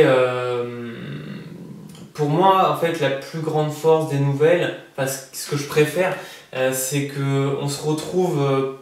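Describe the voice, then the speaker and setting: A man in his thirties, an author from France, answering interview questions in a relaxed, hesitant way with many fillers and restarts. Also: on the high side. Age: 30s. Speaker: prize-winning author interviewed. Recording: echoey room, voice from far off the mic.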